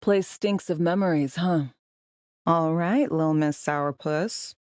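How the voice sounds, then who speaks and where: A woman's voice: deep voice, takes it slowly, darkish tone, no room sound, clean studio sound. A woman playing a brash, foul-mouthed, world-weary female spy in a video game, talking in clipped, slangy, sardonic lines. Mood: wry, sardonic. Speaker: undercover field agent in a sci-fi game. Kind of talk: video game character dialogue.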